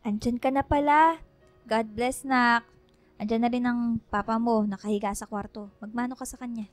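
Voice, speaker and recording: A woman's voice: fairly high pitch, fairly even intonation, normal speed, no room sound, close to an everyday voice. A woman acting a caring, fussing wife and mother in a radio drama, attentive and affectionate, with a Filipino accent. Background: background music.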